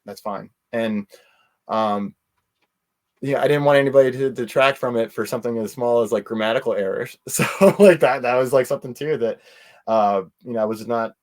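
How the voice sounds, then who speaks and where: A man talking casually as an interview guest, telling things in a rambling, animated way with quoted asides. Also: mid-range voice, moderate pace.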